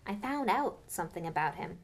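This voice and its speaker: A woman's voice: fairly low pitch, animated, brisk. A woman voicing an eager, earnest young schoolboy wizard in an audiobook.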